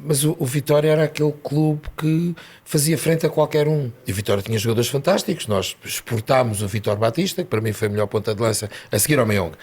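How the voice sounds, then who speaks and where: A man from Setúbal with a European Portuguese accent, chatting as a podcast guest and making animated, emphatic arguments. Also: mid-range voice, fast, breathy edge. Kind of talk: casual chat.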